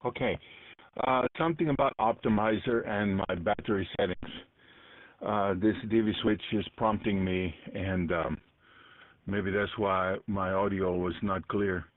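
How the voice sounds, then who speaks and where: Male, low voice, casual and reflective radio chat with halting phrasing, dry muffled sound. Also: fairly even intonation, no room sound. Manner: reflective, halting, searching for words. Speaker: amateur radio conference participant. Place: voice heard over internet-linked ham radio. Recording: muffled, dry digital radio link audio.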